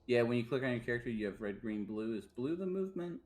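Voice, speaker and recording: A man's voice: mid-range voice, lively intonation, fast, darkish tone. A man playing in an online tabletop game, thinking aloud and asking questions as he works through his turn. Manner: joking, then unsure while sorting out his turn. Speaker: player.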